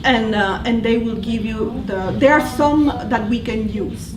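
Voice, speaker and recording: A woman's voice: on the high side, a little slow. A woman with an Italian accent, a language teacher presenting to colleagues in a conversational way, explaining patiently and often restarting her sentences. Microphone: distant room mic. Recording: echoey room from far off with background noise.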